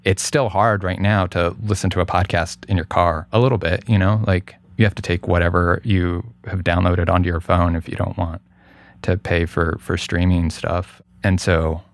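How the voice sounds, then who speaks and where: A middle-aged man speaking as an interview guest in a casual, thoughtful, halting way, often hedging what he says. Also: sits low, steady tone. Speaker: guest, radio producer.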